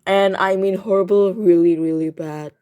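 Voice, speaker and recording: A woman giving casual, rambling playthrough commentary, excitable and often repeating her words. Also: medium pitch, normal speed, lo-fi sound. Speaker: gaming video creator. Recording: music behind the voice. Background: clear game music.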